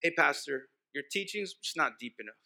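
A man's voice: on the high side, animated, fairly quick, bright, forceful tone. A man from Texas whose first language is Spanish, preaching an informal, conversational sermon and repeating key phrases for emphasis. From Texas, United States. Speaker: pastor preaching.

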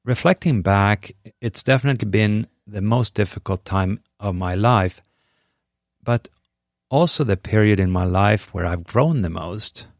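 A middle-aged man from Sweden with a Swedish accent, speaking English as a second language. He hosts a podcast and delivers a scripted monologue in an earnest, measured, encouraging way. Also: fairly low pitch, no echo, clean studio sound. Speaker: podcast host.

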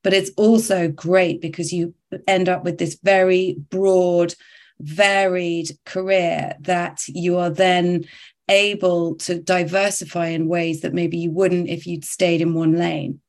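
A woman's voice: fairly low pitch, little pitch movement, slow. A woman in her fifties from the UK, a journalist guest telling her career story in a reflective, chatty way. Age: 50s. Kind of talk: conversational interview.

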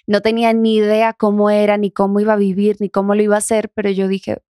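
Young woman, softened Dominican accent, mid-range voice, casual interview guest, dry recording. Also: medium pitch, completely flat delivery, quick pace, smooth, no room sound. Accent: Dominican, softened toward neutral Spanish. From Dominican Republic. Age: young adult.

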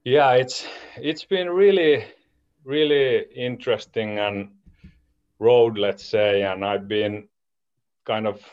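A man's voice: medium pitch, slow, clean tone, dull audio. A man in his forties from Finland with a Finnish accent, a hockey coach answering podcast questions in English and searching for his words. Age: 40s. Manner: searching for words in a second language, restarting phrases. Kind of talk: podcast interview.

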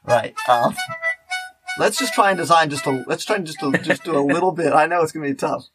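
Rambling man hosting a podcast, higher-pitched voice. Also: brisk, over music. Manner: rambling, self-interrupting. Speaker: podcast host. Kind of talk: casual chat.

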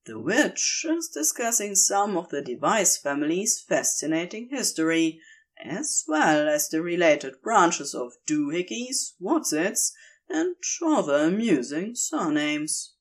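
A woman reading a story aloud as scripted narration, in a measured, expressive storytelling manner. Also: lowish voice.

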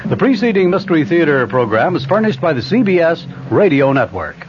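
Animated American radio announcer, muffled broadcast. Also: male voice, a little high, fairly quick. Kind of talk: 1970s sponsor credit announcement.

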